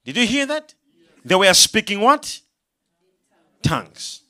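Male preacher, very high and bright voice, calls out to the congregation and builds to shouts. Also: big pitch swings, slow pace. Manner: call-and-response, rising to shouts. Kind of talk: sermon.

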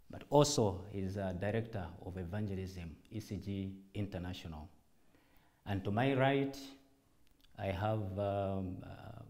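A man speaking as a church leader in a formal public address, deliberate and emphatic, in short measured phrases. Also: on the low side, warm tone. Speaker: church director and apostle giving a public address.

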